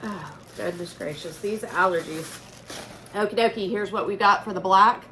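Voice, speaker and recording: Bright-voiced chatty woman with allergies. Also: mid-range voice, steady tone, normal speed, a little hiss or hum, low-quality recording. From Oklahoma, USA. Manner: chatty, upbeat, folksy. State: congested from allergies. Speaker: direct-sales consultant and channel host. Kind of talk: casual product unboxing vlog. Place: home room with a noisy air conditioner.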